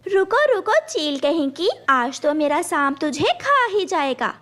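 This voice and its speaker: A woman's voice: high voice, very animated, measured pace, a bit bright, full character voice. A woman voicing a protective, determined mother bird in a children's cartoon. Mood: triumphant anger.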